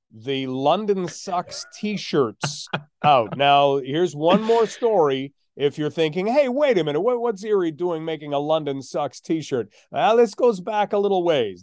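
A man hosting a hockey podcast as a play-by-play announcer, telling stories in a measured way with drawn-out emphasis. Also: on the high side, a little mellow, no echo. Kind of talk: sports podcast conversation.

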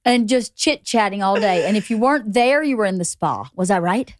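A hoarse woman hosting a casual podcast chat, teasing and asking quick questions. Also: mid-range voice, lively intonation, no room sound, studio quality. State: hoarse, partly lost voice. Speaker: podcast host.

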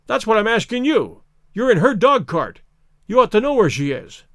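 Man acting desperate male stranger, storybook, threatening, blustering shouts, high-pitched, wildly animated. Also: brisk, no room sound, clean studio sound.

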